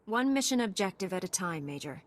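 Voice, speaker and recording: An actress playing a calm, resolute female knight in a video game, speaking in a firm, matter-of-fact way. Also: mid-range voice, a little fast, natural voice.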